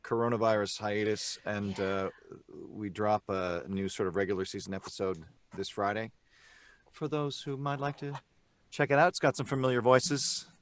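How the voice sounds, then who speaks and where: Low-voiced middle-aged man chatting. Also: normal speed, smooth. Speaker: podcast cast member. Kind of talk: casual group chat. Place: small padded home booth.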